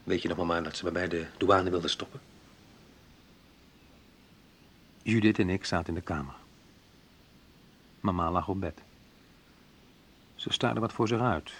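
A man's voice: deep voice, normal speed, long pauses, a bit bright, slightly rough. An actor playing a wry, reflective man who narrates memories of his youth in an intimate radio drama, confiding and a little hesitant. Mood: quiet, sad. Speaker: narrator and main character of a radio play.

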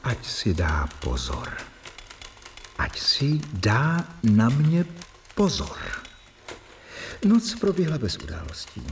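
A man's voice: mid-range voice, wildly swooping pitch, creaky, long pauses, recorded from a distance, soft background music. A man playing a wary doctor who reports events in terse diary entries in a radio play. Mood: brooding.

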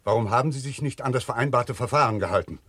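A man's voice: mid-range voice, quick pace, a bit bright, breathy edge. An actor playing a wary, curt businessman in a 1970s German radio crime play. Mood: suspicious, curt. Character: wary, gruff businessman roused at night.

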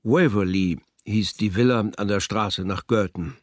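A man's voice: fairly low pitch, a little slow, no room sound, clean studio sound, faint music behind, lightly acted. A male actor narrating a literary radio drama as a wry, scripted storyteller. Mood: wry, measured.

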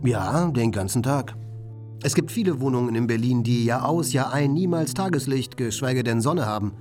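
A man performing a reflective, wry commentator in a literary radio play, with measured, pointed phrasing. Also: medium pitch, lively intonation, darkish tone, soft background music, lightly acted. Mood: wry, musing. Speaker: second narrator.

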